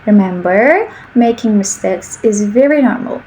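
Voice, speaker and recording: A woman in her twenties from Turkey with a Turkish accent, speaking English slowly and carefully as a channel host giving speaking practice. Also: medium pitch, expressive, dark tone, slight background noise, low-quality recording. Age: 20s. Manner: slow, careful speech with simple sentences. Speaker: English teacher. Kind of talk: slow English practice monologue.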